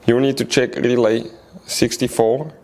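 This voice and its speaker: A man's voice: medium pitch, little pitch movement, unhurried, darkish tone, low-quality recording. A man hosting a car repair tutorial, giving step-by-step how-to narration and often addressing viewers as guys. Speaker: car repair video host.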